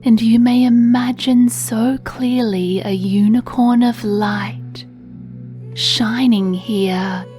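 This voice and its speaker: Very slow, soothing woman narrating bedtime meditation. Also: fairly high pitch, fairly even intonation. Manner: soothing, gentle, hushed and breathy delivery. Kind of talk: children's sleep meditation storytelling, scripted narration. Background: soft music.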